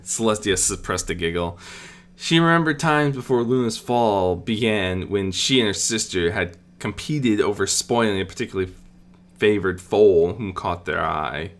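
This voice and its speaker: A narrator reading a scripted fantasy story in an expressive storybook manner, with dramatic pauses. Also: low voice. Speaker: audiobook narrator.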